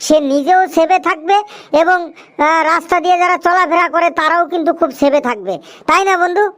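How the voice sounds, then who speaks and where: Chatty young cartoon pal, extremely high-pitched, eastern Bengali dialect, earnest, scolding, colloquial. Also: a little fast, fairly bright tone. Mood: earnest, preachy. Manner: preachy, emphatic, colloquial. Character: talkative food-loving young cartoon friend, earnest and scolding. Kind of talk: cartoon dialogue with moral advice.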